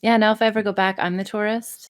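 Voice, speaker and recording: A woman's voice: mid-range voice, flat delivery, quick pace, clear, resonant tone. A woman chatting casually on a podcast, joking around and swearing freely. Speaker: podcast guest, audio performer.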